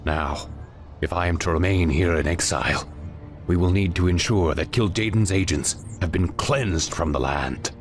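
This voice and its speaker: An actor playing a commanding exiled demon lord in a fantasy game, brooding and portentous. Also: male voice, deep voice, normal speed, faint music behind, natural voice. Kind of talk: dramatic fantasy game cutscene acting.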